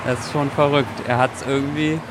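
A man's voice: medium pitch, steady tone, normal speed. A man talking informally and reflectively, recorded outdoors. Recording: noisy outdoor urban ambience.

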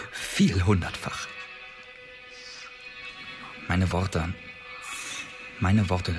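An actor in a radio drama playing an impassioned Elizabethan poet and secret agent, speaking eloquently, theatrically and insistently. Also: male voice, fairly low pitch, close to an everyday voice. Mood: fervent, pleading. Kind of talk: German radio drama acting, monologue-like dialogue. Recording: long reverberant hall, music underneath.

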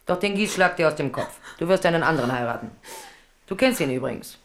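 A woman's voice: sits low, animated, fast talker, crowd noise. An actress in a radio drama playing a middle-aged queen mother, domineering and scheming, with a commanding, condescending manner. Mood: commanding, soothing.

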